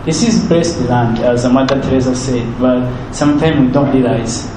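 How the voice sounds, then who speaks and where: A young man with a Rwandan accent, a native Kinyarwanda speaker, talks earnestly in an interview. He speaks haltingly and repeats words as he searches for them. The recording has a roomy echo and steady background noise. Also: mid-range voice. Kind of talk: heartfelt interview answers.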